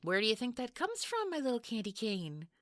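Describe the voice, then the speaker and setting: A woman playing a jealous, obsessive wife in an intimate audio roleplay, sugary and taunting, with a breathy voice that often nears a whisper. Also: on the high side, wildly swooping pitch, no room sound, studio quality. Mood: mock-sweet. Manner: slow, sugary, taunting, breaking into giggles.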